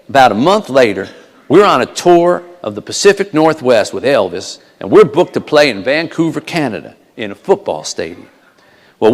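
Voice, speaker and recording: A man playing a folksy Southern backup singer, telling an old tour story in a colloquial drawl with a Southern US accent. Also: medium pitch. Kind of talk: first-person storytelling at a funeral. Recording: echoey hall with long reverb.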